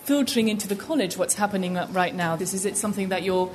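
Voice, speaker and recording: A woman reporting for radio, narrating and asking questions in a bright, inquisitive way. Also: mid-range voice, fast talker, slight background noise. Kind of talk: radio feature reporting and interviewing.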